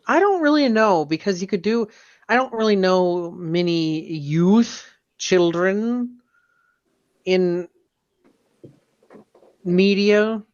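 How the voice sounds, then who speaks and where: Male podcast co-host, slow casual delivery, slightly muffled low-fidelity mic. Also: expressive, clean tone. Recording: dull, lo-fi microphone sound.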